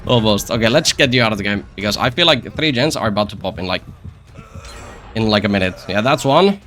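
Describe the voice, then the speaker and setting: A man's voice: on the low side, expressive, fairly quick, fairly bright tone. A man giving casual gameplay commentary as a streamer, rambling and repeating phrases with self-deprecating, joking asides. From Finland. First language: Finnish. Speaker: game streamer.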